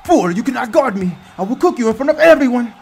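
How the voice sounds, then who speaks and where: A man plays a boastful basketball player in a comedy dub. The voice is high and animated with a gravelly edge, and the talk is slangy trash talk over music. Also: quick pace, full character voice. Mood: combative. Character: cocky, trash-talking male pro basketball player. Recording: music behind the voice.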